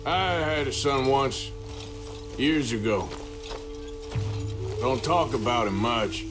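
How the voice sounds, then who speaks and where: An actor playing a weary, regretful outlaw of the Old West in a video game, with a rural American Western drawl and a plain-spoken, subdued delivery. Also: male voice, medium pitch, expressive, slow pace. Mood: sorrowful, regretful. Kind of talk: naturalistic dramatic video game acting. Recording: dramatic music behind the voice.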